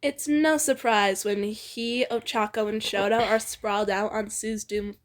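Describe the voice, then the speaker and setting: A woman's voice: mid-range voice, steady tone, measured pace. A young woman reading fan fiction aloud informally, playful and giggly, slipping into casual banter.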